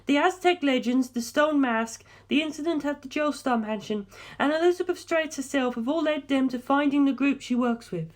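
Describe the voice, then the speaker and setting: A woman acts out a young woman from an audiobook story, high in pitch and sure of herself. She talks at length, assertive and knowing, with a teasing edge. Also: normal speed, close to an everyday voice. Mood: grave, firm. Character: Darlington, sharp teasing young English woman. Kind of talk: dramatic audiobook character dialogue.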